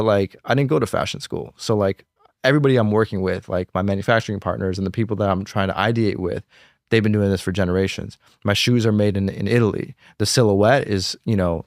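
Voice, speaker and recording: A man's voice: fairly low pitch, no echo, clean studio sound. A young American man, a fashion designer, talking about his brand in a casual interview, packing his sentences with "like" and "you know". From United States. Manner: fast, filler-heavy talk with many "like"s.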